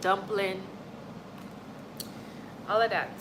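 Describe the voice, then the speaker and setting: A woman's voice: medium pitch, little pitch movement, extremely slow, background noise. Chatty young woman from Montreal. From Montreal, Canada. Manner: chatty, rambling, frequent "like" and "honestly". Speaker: livestream host. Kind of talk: casual chat with live chat.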